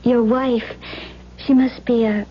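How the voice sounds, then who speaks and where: Slow, seductive radio temptress. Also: female voice, on the high side, fairly even intonation, slightly rough. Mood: coy, wistful. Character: seductive temptress Lilith, coaxing and theatrically yearning. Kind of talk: old-time radio drama acting. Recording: muffled, hissy old radio drama recording.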